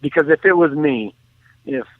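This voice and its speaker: A man calling in by phone to give casual sports analysis, phrasing his points in a measured, halting way. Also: on the high side, dry recording. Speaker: sports talk commentator. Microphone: phone call. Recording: thin, tinny phone line.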